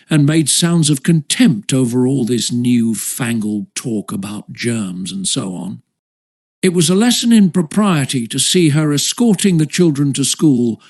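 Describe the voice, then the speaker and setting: A man's voice: fairly high pitch, darkish tone. A man reading a children's story as scripted audiobook narration.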